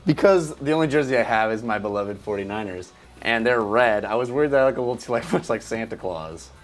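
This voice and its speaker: A man's voice: medium pitch, lively intonation, fast, soft background music. A man hosting in casual, slangy, jokey chat with his friends. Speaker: show host.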